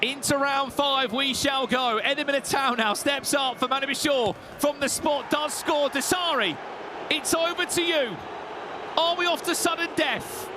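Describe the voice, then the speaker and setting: A man casting an esports penalty shootout, excitable and shouting, his voice going hoarse. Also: very high voice, normal speed, background noise. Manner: excitable, shouting at big moments. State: losing his voice. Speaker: esports caster. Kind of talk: play-by-play commentary.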